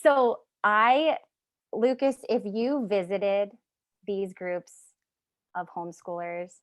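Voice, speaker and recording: A woman guest on a podcast, talking enthusiastically in quick bursts about education. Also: mid-range voice, no echo. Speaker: podcast guest, homeschooling advocate. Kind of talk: casual chat.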